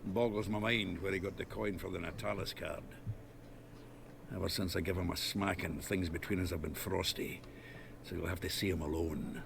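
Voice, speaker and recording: An actor playing a gruff, blunt male dwarf card dealer with a gravelly voice, in a video game. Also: low voice, steady tone, moderate pace. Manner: blunt and coarse. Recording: game audio with background noise.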